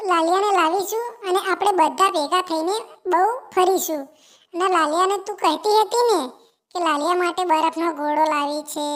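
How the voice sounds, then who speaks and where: A very high, childlike cartoon sparrow, acted by a woman. She is cheerful and eager, gushing in repeated exclamations as she chatters through an animated moral tale. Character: cheerful, eager, chatty female sparrow visiting her sister. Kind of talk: Gujarati animated moral story dialogue.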